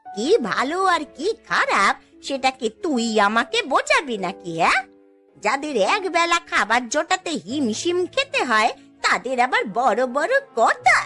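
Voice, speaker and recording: A woman's voice: high voice, sing-song, measured pace, sharp tone, faint music behind, full character voice. A woman voicing a spiteful, gossiping older village aunt in a cartoon, gloating and scolding. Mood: indignant, scornful. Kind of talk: Bengali cartoon voice acting.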